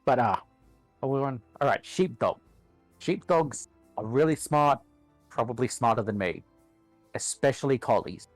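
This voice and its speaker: A man's voice: medium pitch, lively intonation, normal speed, long pauses, no room sound, faint music behind. A man with an Australian accent, chatting casually as a guest on a group livestream.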